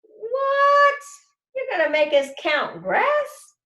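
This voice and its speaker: Woman voicing loud, high, excitable schoolkids, echoey room. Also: drawn-out words, highs cut off, full character voice. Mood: indignant. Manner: loud, exclaiming, high-pitched outbursts. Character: excitable, protesting, mischievous schoolchildren, mostly boys. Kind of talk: children's novel read-aloud.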